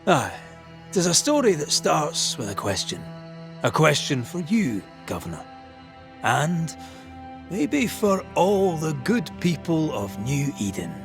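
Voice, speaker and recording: An animated, challenging ghost hunter. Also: male voice, fairly high pitch, music in the background, exaggerated voice. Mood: measured challenge. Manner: deliberate, accusing, eloquent. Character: shrewd, challenging male ghost hunter confronting authority. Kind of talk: dramatic game storytelling and interrogation.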